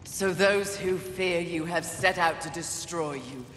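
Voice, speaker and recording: A woman's voice: fairly low pitch, unhurried, fairly bright tone. An actress playing a stern, resolute warrior horseman in a video game cutscene, speaking in a solemn, formal, declamatory way. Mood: urgent, persuasive. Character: stern, resolute female horseman warrior sworn to protect humanity. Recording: echoey hall reverb, faint background noise.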